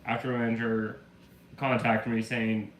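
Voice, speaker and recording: A man's voice: medium pitch, flat delivery, moderate pace, a bit bright. A man streaming from his room at home, his voice back after the flu but still carrying a slight cough. He talks casually and rambles, piling on fillers. The room sounds echoey, with a faint hum of ambience behind him. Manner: rambling storyteller, lots of "like" fillers. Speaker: live streamer. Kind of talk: casual live-stream chat.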